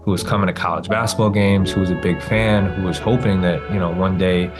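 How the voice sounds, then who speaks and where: Middle-aged man, reflective, deep voice, guitar music behind. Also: fairly even intonation, fairly quick. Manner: reflective, "you know" fillers. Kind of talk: documentary interview.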